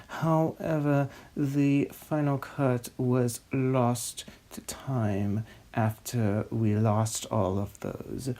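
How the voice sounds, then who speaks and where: Casting: male snobby comic narrator, unhurried and droning, soft-edged dark timbre. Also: mid-range voice, vocal fry. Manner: droning, mock-pompous, deliberate. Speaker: host, narrator of a web series. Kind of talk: comic scripted narration and script reading.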